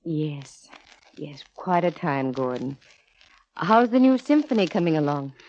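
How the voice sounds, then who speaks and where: Low-voiced, cool radio private eye lady. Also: expressive, a little slow, darkish tone. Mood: firm, concerned. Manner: composed, wry, firm. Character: cool, shrewd, wry female private eye. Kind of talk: 1940s radio drama acting and narration. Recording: dull vintage radio recording, music behind.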